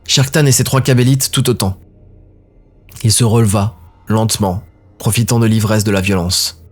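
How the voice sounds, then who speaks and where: A man narrating a dark fantasy audio drama in a steady, grave way. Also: fairly low pitch, moderate pace, faint music behind.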